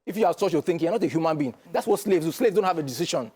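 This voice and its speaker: Fast, emphatic Nigerian man. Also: a little high, expressive, a little mellow, slightly rough. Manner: emphatic, lecturing, keeps asking "do you understand?". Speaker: guest commentator. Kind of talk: studio interview.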